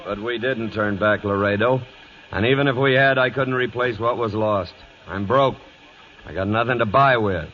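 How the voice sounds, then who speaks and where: An actor playing a hard, commanding cattle boss with an American accent and a clipped, stern manner in a 1940s radio western. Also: male voice, lowish voice, moderate pace, natural voice. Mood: hard resolve. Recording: dull old 1940s radio broadcast.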